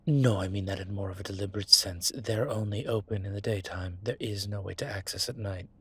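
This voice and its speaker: Suave male vampire for audio roleplay, played by a man: husky, breathy, measured, sentimental with dry wit. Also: on the low side. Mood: wry. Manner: measured, wry, formal wording. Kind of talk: scripted intimate audio roleplay, one side of a conversation.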